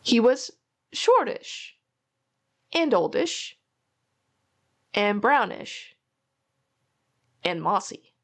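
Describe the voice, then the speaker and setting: A woman reading a rhyming children's storybook aloud in an expressive, theatrical way. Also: fairly high pitch, drawn-out words, long pauses. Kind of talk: read-aloud storytelling of a rhyming picture book.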